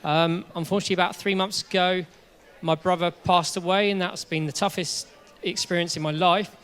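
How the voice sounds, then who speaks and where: Sincere man with a high voice. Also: fairly even intonation, a little fast, a bit bright, clean tone. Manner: sincere, grateful. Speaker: church member being baptized. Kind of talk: short personal testimony.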